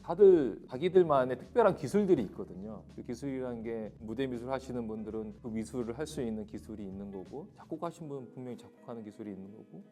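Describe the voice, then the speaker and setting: A man's voice: medium pitch, animated, fast talker, hardly any pauses, dark tone, faint music behind. A man from South Korea, a stage director, giving reflective interview answers in long, explanatory sentences. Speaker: theatre and musical director, interviewee.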